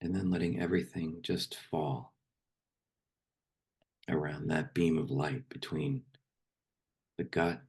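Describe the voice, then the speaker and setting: A man speaking as a meditation guide and poetry reader, slow and unhurried, with long pauses between phrases. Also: very deep voice, fairly even intonation, vocal fry, darkish tone, husky.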